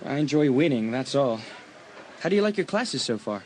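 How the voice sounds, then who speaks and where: A man's voice: medium pitch, sing-song, moderate pace, darkish tone, slight background noise, natural voice, rough recording. A young man playing an easygoing, teasing teenage school athlete in an audiobook dramatisation, chatting casually. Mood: breezy, teasing.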